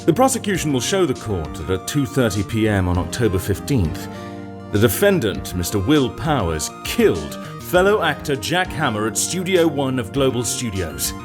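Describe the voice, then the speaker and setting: A man's voice: medium pitch, big pitch swings, normal speed, music in the background, close to an everyday voice. A man playing a formal, self-assured prosecutor in a video game fan dub. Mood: confident, stern.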